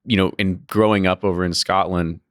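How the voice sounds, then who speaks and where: A man's voice: sits low, fairly even intonation, a little fast, fairly bright tone, no room sound, clean studio sound. A man hosting a podcast interview, talking casually and rambling, often restarting his phrases. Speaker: podcast host.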